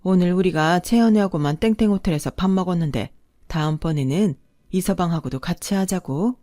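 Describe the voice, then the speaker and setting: A woman's voice: on the low side, a little fast, mellow, dry recording, clean studio sound, natural voice. A woman voicing a cheerful, proud older mother speaking to her son-in-law. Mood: pleased.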